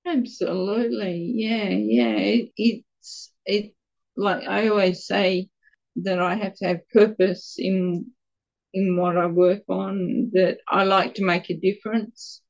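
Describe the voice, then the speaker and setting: Quiet, gravelly woman, slow. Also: medium pitch, highs cut off. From Australia. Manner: halting, stumbles a little over words. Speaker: guest with Parkinson's. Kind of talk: casual interview answers.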